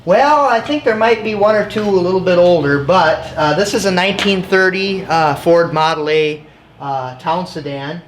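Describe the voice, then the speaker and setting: A man from Michigan chatting casually as an interview guest, halting and repeating words as he goes. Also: high voice. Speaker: interview guest, car collector. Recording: echoey, clearly noisy location sound.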